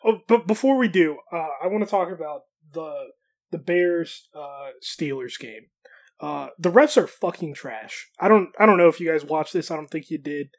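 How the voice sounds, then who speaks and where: A man's voice: a little high, expressive, moderate pace. A young man co-hosting a casual football podcast, ranting bluntly and swearing freely as he retells a game. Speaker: podcast co-host. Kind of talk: casual sports podcast chat.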